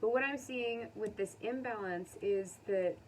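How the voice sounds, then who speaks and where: An American woman giving a casual, unscripted tarot reading to viewers, conversational and musing, pausing to think aloud. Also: mid-range voice. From United States. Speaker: tarot reader. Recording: distant mic with faint background noise.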